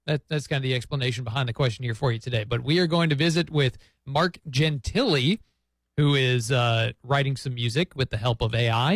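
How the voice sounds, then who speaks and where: A man's voice: mid-range voice, no room sound. A man hosting on radio, presenting and interviewing in a conversational style. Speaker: radio host.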